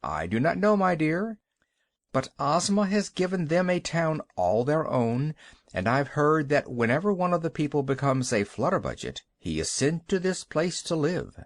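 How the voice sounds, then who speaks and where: Reader doing a calm old storybook wizard, wry, consoling, lively intonation. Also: medium pitch, normal speed, no room sound, studio quality, pitched above the performer's own voice, natural voice.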